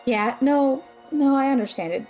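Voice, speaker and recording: A woman's voice: high voice, moderate pace, darkish tone, soft background music, lightly acted, low-quality recording. A young woman playing a stressed, sarcastic student who is a loyal friend, in a naturalistic audio drama. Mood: apologetic, anxious. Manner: sarcastic, exasperated, swearing.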